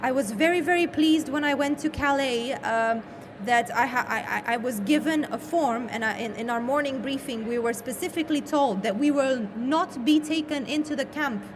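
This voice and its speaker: A woman's voice: sits high, moderate pace. A woman speaking as a panel moderator, emphatic and often repeating words for weight. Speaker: session host and moderator. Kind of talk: panel discussion remarks. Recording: noisy live event room.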